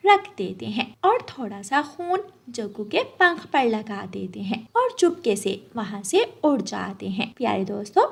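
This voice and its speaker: A woman narrating a scripted children's cartoon story. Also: sits high.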